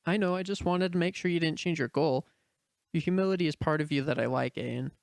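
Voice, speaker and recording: A man voicing a gentle, caring teenage sister in an amateur fan-fiction audio story. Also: a little high, brisk, creaky, smooth, no echo, studio quality. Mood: gentle, curious. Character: gentle, caring teenage sister and plain-spoken science teacher.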